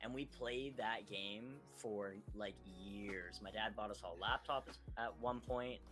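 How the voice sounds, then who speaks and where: A man's voice: lowish voice, slow, a bit bright, faint music behind. A young man chatting casually about video games as a podcast panelist, in informal speech peppered with filler words. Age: young adult. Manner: informal, repeats "like" often.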